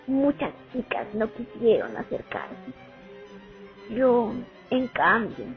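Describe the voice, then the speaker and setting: A haunted young female sniper played by a woman recalls the war in a radio drama. Her delivery is slow and dramatic, swinging widely in pitch. The recording is thin and phone-like, with music underneath. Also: exaggerated voice. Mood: somber, haunted.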